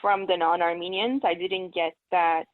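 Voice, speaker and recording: A woman's voice: fairly low pitch, fairly even intonation, moderate pace. A woman guest speaking animatedly, pressing her points with rhetorical questions. Her voice comes through a narrow, tinny line, like a phone call. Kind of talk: casual conversation.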